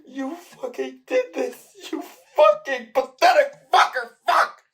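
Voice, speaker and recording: A man's voice: very high voice, sing-song, slow pace, a bit bright, full character voice. A man playing an exhausted, bitter, volatile sleepless man in an audio drama, with intense emotional delivery. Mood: anguished, frantic.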